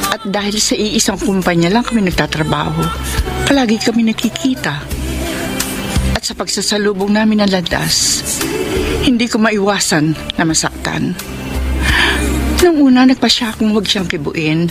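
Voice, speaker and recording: Lady acting as heartbroken filipino woman, aching radio drama voice, mid pitch, music behind. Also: expressive, normal speed, pauses often, close to an everyday voice. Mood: aching, confiding. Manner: aching, earnest, melodramatic. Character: heartbroken, wounded woman torn over lost love.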